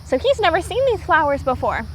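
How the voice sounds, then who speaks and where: A woman teacher reading aloud to young children, pausing to explain words simply and repeating herself for clarity. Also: very high voice, sing-song, a little hiss or hum.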